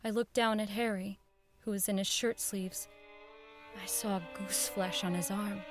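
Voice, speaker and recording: A woman playing an uneasy radio producer who recalls eerie events in measured, dramatic audio drama narration. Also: medium pitch, steady tone, lightly acted. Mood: dread. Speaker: narrator and radio show director. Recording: violin music behind the voice.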